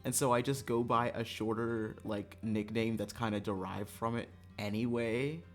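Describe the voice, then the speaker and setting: A livestreamer chatting casually to viewers in an animated, exasperated way, swearing and laughing often. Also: medium pitch, moderate pace, soft background music. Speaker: livestreamer, gaming streamer.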